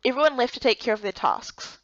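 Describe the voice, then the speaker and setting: A woman's voice: a little high, fairly quick, dry recording. A woman reading scripted first-person story narration as narrator of a voiced game. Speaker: narrator and voice actor. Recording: dull lo-fi close recording.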